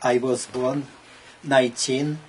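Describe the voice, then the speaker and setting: A man in his seventies from Poland with an Eastern European accent, giving personal testimony in halting, broken English phrases with frequent pauses. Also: medium pitch, level tone, darkish tone, a little hiss or hum, low-quality recording. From Poland (now Belarus). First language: not English. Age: 70s. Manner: halting, pausing often, in short broken phrases. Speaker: Holocaust survivor giving testimony. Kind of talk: personal oral history testimony.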